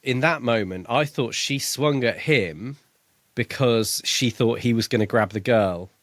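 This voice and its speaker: A man's voice: mid-range voice, unhurried, a bit bright, dry recording. A man co-hosting a podcast, casually discussing a book in a chatty, jokey way. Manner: chatty, jokey, prone to laughing. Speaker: podcast co-host.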